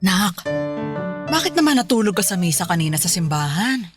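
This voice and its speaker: A woman's voice: fairly low pitch, sing-song, brisk, loud music behind the voice. A woman playing a devout Filipino mother in a radio drama, chiding and instructing her child in a motherly way. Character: devout scolding mother of a teenage daughter.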